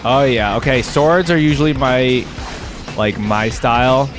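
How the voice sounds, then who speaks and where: A man's voice: medium pitch, unhurried. A man hosting a reaction video, thinking aloud in casual, informal commentary. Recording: music behind the voice.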